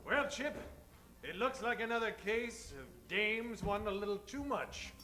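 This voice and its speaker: A man playing a gallant, wisecracking detective in improvised old-time radio comedy, theatrical and animated. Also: high voice, unhurried. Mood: wry. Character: wisecracking, gallant male golf-pro detective hero.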